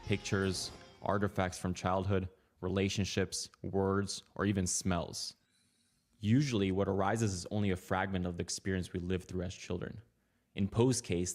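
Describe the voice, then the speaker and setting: A man reading a scripted documentary narration in a calm, measured way. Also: low voice, faint music behind.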